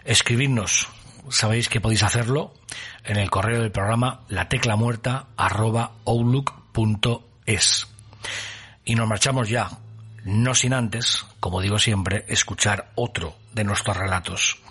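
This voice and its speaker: A man hosting a radio show, reading a scripted reflection in a measured, deliberate way. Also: on the low side, a bit bright, husky. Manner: measured, deliberate pauses between words.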